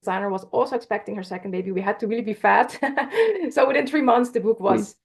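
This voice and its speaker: A woman whose first language is Dutch, a podcast guest talking casually about her work, friendly and quick to laugh. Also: on the high side, expressive, creaky. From Netherlands. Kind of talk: casual interview talk.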